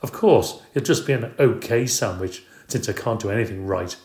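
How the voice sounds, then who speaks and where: A man's voice: fairly low pitch, moderate pace, a little mellow, roomy sound, lightly acted. A man reading aloud, voicing a tired, angry working mother who complains and speaks sarcastically.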